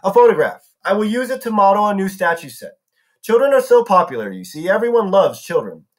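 A man voicing a lonely, sweetly sinister old woman who makes garden statues, speaking in a soft, coaxing, formal way. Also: sits high, big pitch swings, brisk, pitched above the performer's own voice.

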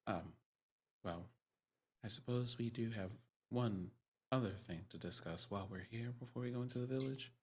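A man improvising in character in a tabletop roleplaying game over a video call, using formal, measured wording. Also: on the low side, husky. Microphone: close mic on a video call.

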